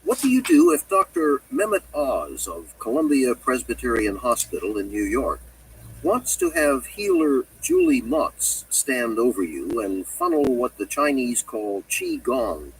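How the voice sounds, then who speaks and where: A man reading a nonfiction audiobook in a measured, emphatic and articulate way. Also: on the low side, fairly bright tone, recorded from a distance, a little hiss or hum, low-quality recording. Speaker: audiobook narrator. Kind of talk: scripted nonfiction audiobook narration.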